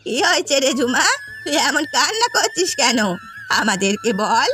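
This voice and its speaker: Cartoon grandmother, voiced by a woman: elderly, kindly, gentle, drawn-out village speech, bright tone. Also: high voice, very animated, soft background music, full character voice. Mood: alarmed concern. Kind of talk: Bengali cartoon story voice acting.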